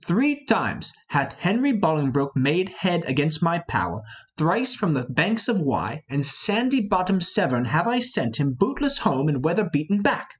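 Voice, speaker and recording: A man's voice: on the high side, sing-song, moderate pace, slightly rough, dull audio. A man playing a proud, boastful mystic lord in a Shakespearean play reading, declaiming grandly.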